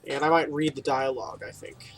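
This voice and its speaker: A man's voice: mid-range voice, fairly even intonation, fairly quick, fairly bright tone, slight background noise. A man giving casual, rambling playthrough commentary on a video game, reading dialogue aloud with wry, informal asides. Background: game music.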